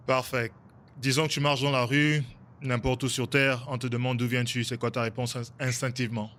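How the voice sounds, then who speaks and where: A man's voice: mid-range voice, little pitch movement, moderate pace, a bit bright, slight background noise. A man hosting a casual interview podcast, asking loose, hesitant questions with frequent restarts. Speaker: podcast host.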